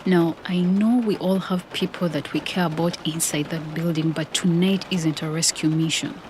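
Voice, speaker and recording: A woman's voice: low voice, steady tone, moderate pace, a little mellow, slight background noise, lightly acted. A woman playing a cool, commanding leader of a covert mission in an audio drama. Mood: commanding, grim. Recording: music, rain and vehicle sound behind.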